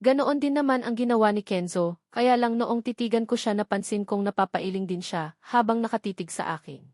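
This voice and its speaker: A woman's voice: on the high side, fairly quick, a little mellow, dry recording, studio quality. A woman with a Filipino accent reading a scripted first-person novel as an audiobook narrator.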